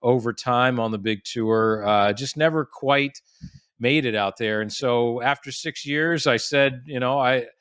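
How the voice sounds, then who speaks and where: A man's voice: mid-range voice, a little slow, fairly bright tone, clear, resonant tone, no echo. Chatty man in his forties, dry close sound. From United States. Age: 40s. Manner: chatty storyteller with frequent restarts and fillers. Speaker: guest, golf professional. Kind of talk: casual podcast interview.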